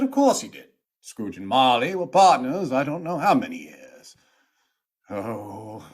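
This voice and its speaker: Husky, slow, dramatic storyteller man narrating. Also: medium pitch, a bit bright, exaggerated voice. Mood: emphatic, wry. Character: dramatic, relishing male storyteller. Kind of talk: theatrical scripted storytelling narration.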